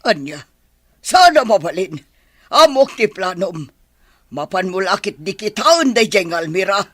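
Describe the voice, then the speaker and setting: A woman's voice: medium pitch, big pitch swings, unhurried, no echo. An actress with an Ilocano accent playing a mother who pleads with and scolds her grown son in a radio drama. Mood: worried.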